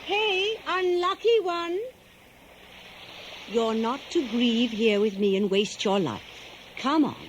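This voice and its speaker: Lovelorn goddess; slow, coaxing, very expressive radio drama delivery on faintly noisy old tape. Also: female voice, on the high side. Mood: coaxing, wistful. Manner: languid, coaxing, sighing. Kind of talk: 1970s radio play acting. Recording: slightly noisy old cassette recording.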